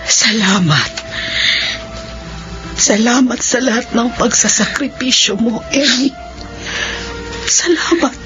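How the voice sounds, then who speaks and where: A woman's voice: on the high side, slow, long pauses. A woman acting a tender, emotional older mother and grandmother in a radio drama, speaking with pleading feeling. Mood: moved gratitude. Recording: dull lo-fi radio drama, faint music.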